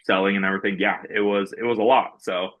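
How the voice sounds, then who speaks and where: Low-voiced guy from norway, podcast guest, rambly with ums, kinda muffled audio. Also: flat delivery, fast talker. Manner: many fillers and restarts, earnest storytelling. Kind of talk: podcast interview.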